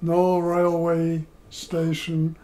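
An older man from Poland, seated at home, telling his life story in a slow, measured way with many pauses, recorded by a camera across the room. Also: on the high side, monotone, warm tone. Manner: slow, measured, pausing between phrases. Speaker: interviewee. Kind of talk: oral history storytelling. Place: living room, indoors.

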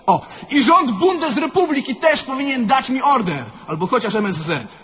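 An actor playing a cocky, self-justifying young car thief in a radio drama, bombastic and ironic. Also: male voice, very high-pitched, animated, moderate pace, fairly bright tone, slight background noise. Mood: boastful. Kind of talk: theatrical radio drama monologue.

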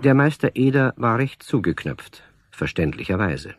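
A man narrating a scripted children's radio story as a storyteller, with a husky voice. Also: mid-range voice, big pitch swings, moderate pace, no room sound, studio quality.

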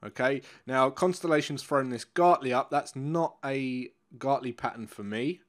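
A man's voice: medium pitch, a little slow. A middle-aged man with a nasal, congested voice, walking viewers through trading charts in a casual, instructive way and repeating key points. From England. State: congested, blocked nose. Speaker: currency trading coach. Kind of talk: live trading room chart walkthrough.

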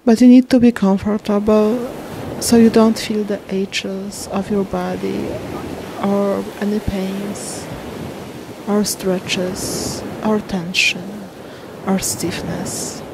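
A woman leads a guided meditation at a very slow pace, leaving long pauses between short phrases. Her delivery is soothing and sits at a medium pitch. A clear wash of ocean waves and wind runs behind her voice. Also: little pitch movement.

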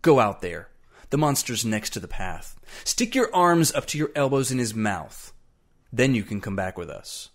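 Guy doing an angry tough safari guide in a story, barking and accusing, pitch all over the place. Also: big pitch swings, normal speed, lightly acted. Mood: commanding, contemptuous. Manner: forceful, accusing, barking orders. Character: furious, threatening hard-bitten male safari guide. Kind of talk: dramatised story reading.

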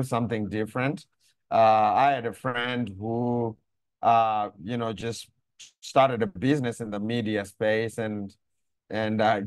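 A middle-aged man from Zimbabwe, a pastor, speaking on a video call in a measured way with many pauses and fillers. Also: mid-range voice, no echo. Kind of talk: conversational faith teaching on a video call. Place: room indoors. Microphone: lapel mic on a video call.

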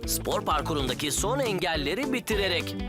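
Lively, willing cartoon builder. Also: male voice, sits high, fairly quick, loud music behind the voice. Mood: cheerful. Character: friendly, willing, slightly hesitant male builder.

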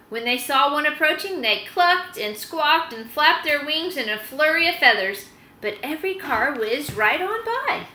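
Woman, thirties, expressive read-aloud host, bright high voice, roomy home recording. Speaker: host reading aloud to children. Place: small indoor room at home. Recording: roomy, faintly noisy webcam recording.

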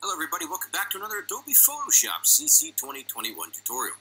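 A man's voice: on the high side, huge pitch swings, very fast, sharp tone, husky, rough recording. A man giving an upbeat, punchy intro to his own tutorial video. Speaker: tutorial host, in a played clip.

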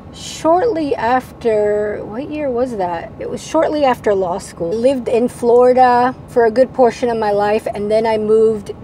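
Middle-aged female vlogger, Spanish as first language, soft-edged relaxed tone, explanatory to-camera talk, some noise inside a van. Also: mid-range voice. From Venezuela, moved to the United States at ten. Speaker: vlogger, channel host. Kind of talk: casual vlog, answering viewer questions to camera. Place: parked camper van cab. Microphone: lapel mic. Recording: steady background noise inside a vehicle.

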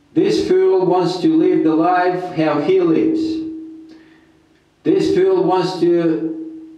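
A man's voice: a little high, mellow. A middle-aged man giving a contemptuous, insulting commentary while reacting to a sermon, filmed at a desk in a room crowded with ornaments. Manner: contemptuous, insulting, slow and deliberate. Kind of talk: scornful commentary. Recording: very echoey room.

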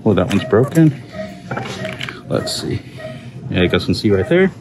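Host, male, lowish voice, chatty upbeat store walkthrough on a phone, noisy shop behind. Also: big pitch swings, unhurried. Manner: chatty, upbeat, reads product labels aloud with fillers. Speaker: shopping vlog host. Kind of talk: casual store walkthrough narration. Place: inside a large discount store. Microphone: handheld phone camera. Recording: phone recording with store background noise. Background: store ambience.

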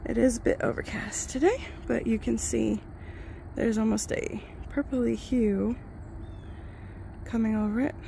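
A woman vlogger sick with the flu, her voice gravelly, chatting in a rambling, self-deprecating way while reviewing glasses at home. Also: fairly high pitch, fairly even intonation, a little slow, warm tone, slight background noise. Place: home, indoors and outdoors in yard.

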